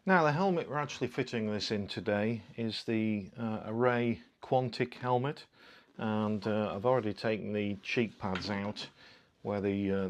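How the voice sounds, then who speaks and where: A man presenting a product in a casual, informal way, explaining things step by step as he demonstrates. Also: on the low side. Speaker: product review host. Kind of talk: casual unboxing and fitting demonstration.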